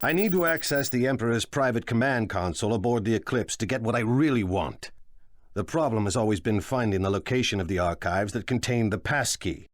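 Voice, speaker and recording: An actor playing a cunning, ruthless crime lord in a video game, speaking in a measured, condescending and deliberate way. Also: male voice, fairly low pitch, dry recording, clean studio sound, lightly acted. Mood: smug, calculating. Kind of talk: dramatic video game voice acting.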